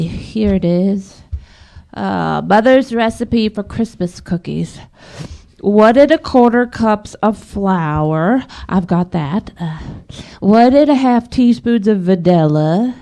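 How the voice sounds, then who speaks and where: A woman's voice: mid-range voice, warm tone. A woman playing an earnest, tearful little girl in a live old-time radio drama. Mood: earnest, concentrating. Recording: live stage radio play.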